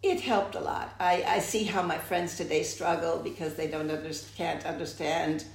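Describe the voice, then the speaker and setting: Gravelly-voiced older American woman recalling her life. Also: low voice, fairly even intonation, normal speed. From United States. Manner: reflective, with frequent restarts. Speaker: interviewee. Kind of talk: oral history interview. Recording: echoey room.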